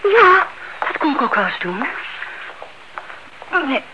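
Anxious elderly aunt turned amateur sleuth, radio drama, animated delivery, muffled and very noisy old recording. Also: female voice, sits high, slow pace, heavily acted. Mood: anxious, searching. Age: older. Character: anxious, stubborn, suspicious old aunt playing detective.

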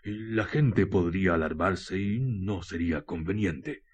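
Deep, husky Chilean priest played by a man. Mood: grave, advising. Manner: slow, halting, paternal delivery. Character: calm, grave village priest comforting a frightened parishioner. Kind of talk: radio drama acting.

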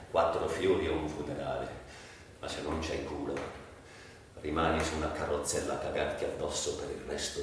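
An actor playing a troubled, bitter man in a volatile, haunted literary monologue for radio drama. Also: a bass voice. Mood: bleak, bitter. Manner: brooding, volatile, swinging between outbursts and murmurs. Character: troubled, bitter man recalling drug years, cynical and haunted. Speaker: stage actor interpreting a monologue. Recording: distant, very echoey, faint background noise.

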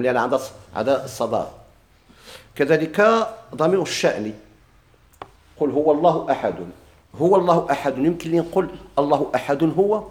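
A Moroccan-accented male teacher talks fast through a grammar lesson, his voice sitting a little high. He keeps asking his class questions, echoes their answers, and stretches out each quoted example slowly. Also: a little mellow. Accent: Moroccan Arabic. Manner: drawls quoted examples slowly, quick plain explanations; asks students questions and repeats their answers.

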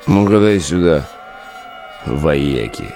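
An actor in a modern audio drama playing a cynical, bossy relic digger, a slangy and commanding drinking buddy. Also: male voice, extremely low pitch, lively intonation, takes it slowly, warm tone, slight background noise, heavily acted. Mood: wry surprise.

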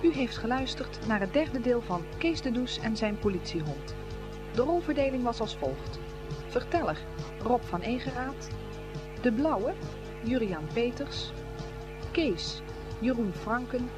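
A woman's voice: fairly high pitch, expressive, unhurried, pauses often, loud music behind the voice. A woman making a formal, scripted announcement as a radio drama announcer.

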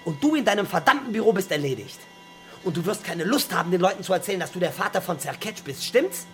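A man's voice: high voice, sing-song, fast, fairly bright tone, soft background music, heavily acted. An actor playing a bitter young man in a radio crime drama, taunting and threatening his father with contemptuous swearing.

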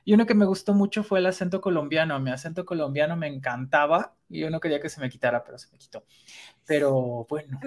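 Casting: deep-toned woman, Mexico City Spanish accent, easygoing podcast co-host who laughs a lot. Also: fast. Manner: chatty, laughs often, trails into asides. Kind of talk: casual chat.

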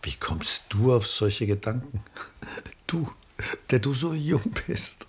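An actor playing a reserved, world-weary, melancholy middle-aged artist in a 1960s German radio play, measured and guarded. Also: male voice, mid-range voice, animated, slightly rough, exaggerated voice.

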